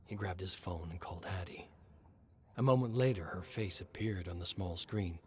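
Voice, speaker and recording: A man's voice: sits low, normal speed. A man reading a scripted horror story as its narrator.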